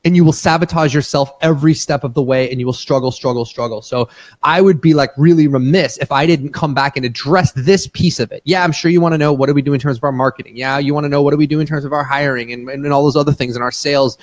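A man hosting a business podcast, talking in an energetic, emphatic way and often repeating words for stress. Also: on the high side, fast, no echo. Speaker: podcast host.

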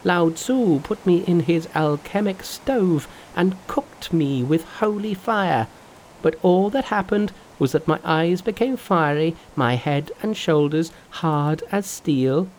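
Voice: expressive, slow pace, slight background noise, poor audio. A brash, mocking monkey king. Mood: boastful. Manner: high, loud and mocking. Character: boastful male monkey king, brash and fearless.

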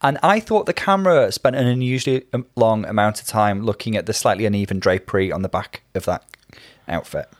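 A man co-hosting a casual review show, measured with wry understatement. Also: on the low side, dry recording, clean studio sound. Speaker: podcast co-host reviewing a drag competition. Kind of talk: casual chat.

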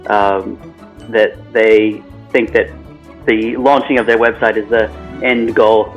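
A man's voice: lowish voice, level tone, slow, muffled recording, faint music behind. A man with a New Zealand accent, a guest agency founder in a casual podcast interview, talking hesitantly with fillers.

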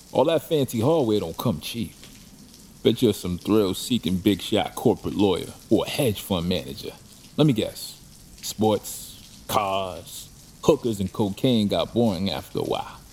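A man playing a scrappy, mouthy mugger and desperate addict in an audio drama, streetwise, slangy and excitable. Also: lowish voice, moderate pace. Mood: mocking. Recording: clear background noise, rain ambience.

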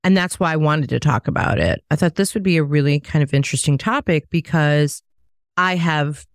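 A woman's voice: low-pitched, fairly quick, no room sound, clean studio sound. A middle-aged woman co-hosting a podcast, talking candidly in a casual chat and telling stories from her own work. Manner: candid, storytelling. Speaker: podcast co-host.

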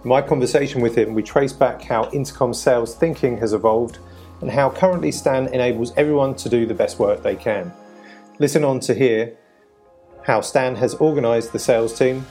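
A man's voice: mid-range voice, moderate pace, a little mellow, soft background music. A man hosting a podcast, presenting and interviewing. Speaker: podcast host.

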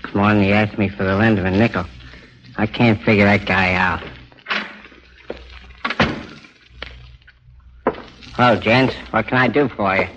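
An actor playing a gruff, suspicious flophouse keeper with blunt, slangy talk in a 1940s radio drama. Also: male voice, fairly low pitch, steady tone, a little fast, a bit bright, lightly acted. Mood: puzzled, wary. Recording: dull lo-fi 1940s radio recording.

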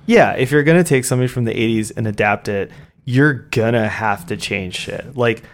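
A man's voice: mid-range voice, normal speed. A man co-hosting a podcast, talking bluntly in casual chat and swearing freely as he airs strong opinions. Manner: blunt, profane, heated opinions. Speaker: podcast co-host.

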